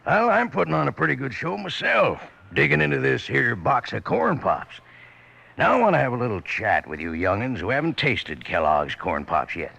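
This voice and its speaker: An American actor playing a jovial, folksy old cowboy with a western drawl, pitching to youngsters in a vintage radio advertisement. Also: male voice, gravelly, sharp tone, full character voice. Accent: American Old West drawl. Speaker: show announcer. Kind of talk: 1950s radio cereal advertisement.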